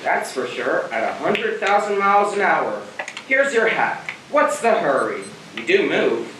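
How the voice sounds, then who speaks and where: A man's voice: a little high, sing-song, unhurried, noisy background. Young man acting grim spaceship captain, far-off echoey stage. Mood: grim, resigned. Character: shaken male spaceship captain, grim and wry. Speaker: actor in a school theater production. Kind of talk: amateur stage play acting. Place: theater stage, picked up from a distance.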